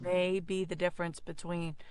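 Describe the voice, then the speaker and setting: A woman's voice: on the low side, flat delivery, moderate pace, darkish tone. A woman presenting an audio tutorial in casual, hands-on, explanatory talk.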